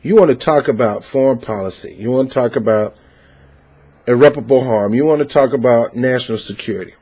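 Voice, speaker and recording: A man's voice: medium pitch, brisk, dark tone. A man giving opinionated political commentary, reading out a news article and reacting to it with passionate, preachy emphasis and frequent fillers. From United States.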